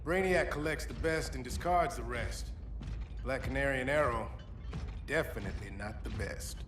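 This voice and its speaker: A man's voice: mid-range voice, normal speed, background noise, lightly acted. An actor playing a sardonic, blunt male cyborg hero who wisecracks confidently in a video game cutscene. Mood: dismissive. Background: music.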